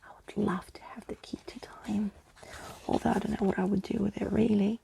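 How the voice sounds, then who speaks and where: A woman speaking softly and intimately in an ASMR bedtime storytelling style, as if to one listener, with a breathy, husky, creaky voice. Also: mid-range voice, level tone, fairly quick, a little hiss or hum. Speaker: ASMR storyteller reading a book aloud. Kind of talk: soft-spoken ASMR bedtime roleplay and reading.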